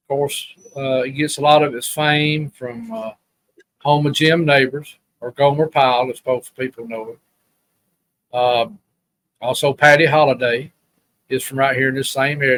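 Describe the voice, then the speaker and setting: A man's voice: medium pitch, moderate pace, pauses often, a bit bright, slight background noise, rough recording. A man from Alabama with a Southern accent, talking as a guest in a casual, rambling, plain-spoken way. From Alabama, USA. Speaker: podcast guest. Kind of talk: casual reminiscing chat.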